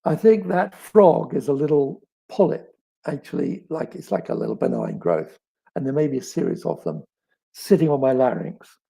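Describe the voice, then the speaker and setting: Crackly, gravelly middle-aged man, thoughtful storyteller. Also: mid-range voice, expressive, warm tone. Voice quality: crackly, raspy, frog in the throat. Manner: thoughtful, halting, with dramatic pauses and emphasis. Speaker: author answering audience questions. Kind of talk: reflective live chat, storytelling.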